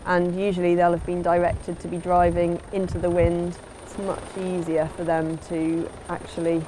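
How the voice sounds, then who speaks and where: A woman's voice: lowish voice, monotone, normal speed, dark tone, slight background noise. A woman giving an instructional voice-over as a sailing instructor, in a plain, explanatory way.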